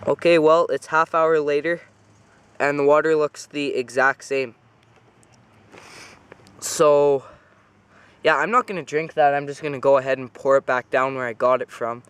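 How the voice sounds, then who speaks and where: A man's voice: on the high side, normal speed, long pauses, clear, resonant tone. A man with a head cold casually demonstrating survival gear outdoors, rambling and correcting himself as he goes. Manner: relaxed, rambling, self-correcting. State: congested with a cold. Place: outdoors by a pond.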